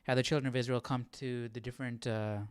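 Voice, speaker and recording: A man's voice: medium pitch, little pitch movement, quick pace, clean tone, no echo. A man hosting a Bible study livestream, reading aloud and commenting casually, often questioning and checking for agreement.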